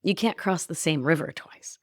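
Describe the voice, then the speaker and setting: A woman's voice: low-pitched, normal speed, no room sound, clean studio sound. A woman from Argentina, a life coach hosting her own podcast, teaching in a playful, affectionate way. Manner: playful, affectionate, laughs often.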